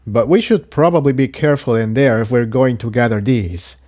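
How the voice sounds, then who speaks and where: A man's voice: mid-range voice, a little fast, darkish tone, natural voice. A man voicing an earnest, cautious male pony in a story reading, explaining himself hesitantly.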